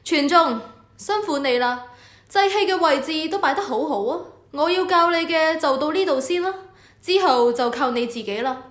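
A temple official acted by a woman speaks in a high voice with a Hong Kong Cantonese accent. The manner is authoritative and instructive, as in a scripted radio drama, and the sound is roomy and echoing. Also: lively intonation, moderate pace, fairly bright tone. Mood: approving. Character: official in charge of the Temple of Heaven, instructive superior. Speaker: voice actor.

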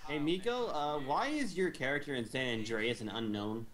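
A man's voice: mid-range voice, lively intonation, normal speed, fairly bright tone. A man chatting casually with friends over a video game. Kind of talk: casual gaming chat.

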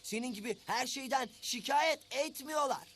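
Amiable working cartoon animal: extremely high voice, reasonable manner, faint noise behind. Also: male voice, moderate pace, a bit bright. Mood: gently reproving. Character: hardworking, friendly handy animal with a drill. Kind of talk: children's cartoon voice acting.

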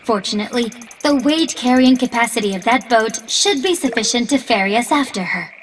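A woman's voice: fairly high pitch, lively intonation, fairly quick, close to an everyday voice. A woman voicing a polite, analytical AI assistant in a video game, speaking in precise, formal, scientific diction. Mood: matter-of-fact. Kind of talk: scripted video game dialogue. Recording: long reverb, faint background noise.

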